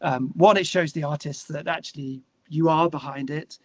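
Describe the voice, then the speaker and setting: A man's voice: a little high, steady tone, normal speed, no room sound, muffled recording. A British man talking earnestly and discursively as a musician guest in a casual interview, often restarting his phrases.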